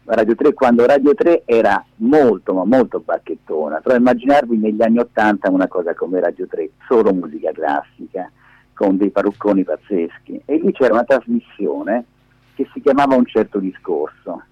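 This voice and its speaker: A husky, lowish-voiced man speaks over a muffled telephone line as a radio guest. He tells things conversationally and emphatically, with very lively intonation, repeating key words. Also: normal speed, no echo. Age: 50s. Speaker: radio interview guest, performing media teacher. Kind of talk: animated conversational storytelling. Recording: dull, muffled phone call.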